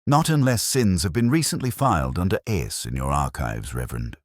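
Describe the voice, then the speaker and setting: Man reading as a patient, deep-voiced police inspector. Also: big pitch swings, fairly quick, dry recording, studio quality. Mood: dry, polite. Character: patient, dryly witty male police inspector.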